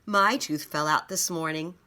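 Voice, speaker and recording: A woman's voice: sits low, a little slow, pushed and bright. A woman voicing a doubtful little boy in a children's storybook, with a blunt, skeptical manner.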